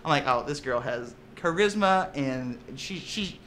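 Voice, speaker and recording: A man with a bright, forceful voice pitched a little high chats in a casual interview. He tells stories with lots of laughter and quick restarts. A faint hiss of noise sits behind him. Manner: animated, laughing storyteller with many restarts. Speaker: tabletop player and voice actor.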